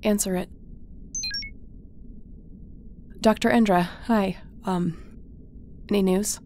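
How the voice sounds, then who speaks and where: A woman playing a caring, loyal spaceship captain in a sci-fi audio roleplay, creaky and conversational, with a tender, reassuring manner. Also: mid-range voice, fairly even intonation, unhurried, dark tone, slight background noise, natural voice. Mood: anxious, relieved. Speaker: voice actor.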